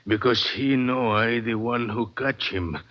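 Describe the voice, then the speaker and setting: Slow, fearful jungle guide played by a man, Spanish accent. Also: mid-range voice, lightly acted. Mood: fearful warning. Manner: halting broken English, ominous. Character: fearful, superstitious Venezuelan male jungle guide. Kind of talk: 1940s radio drama acting. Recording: dull vintage radio recording with loud music.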